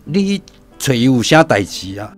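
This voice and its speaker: A man's voice: medium pitch, very animated, measured pace, faint music behind. An actor with a Taiwanese accent playing an exasperated father of nearly sixty, scolding and indignant, in a radio drama. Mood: stern, indignant.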